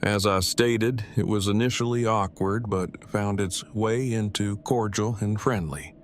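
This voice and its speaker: A man's voice: on the low side, a little slow, slight background noise, lightly acted. A man playing a wordy, self-deprecating, heartbroken nerd who speaks in stilted formal phrases in an audio drama. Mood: formal, measured.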